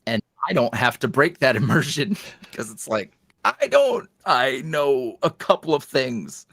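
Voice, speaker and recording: A man's voice: mid-range voice, very animated, unhurried, creaky, a bit bright, slightly rough, dry recording. Male guest, chatty and meandering, dry close sound. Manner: rambling, filler-heavy, self-deprecating. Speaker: podcast guest, ASMR roleplay creator. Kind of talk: casual interview chat.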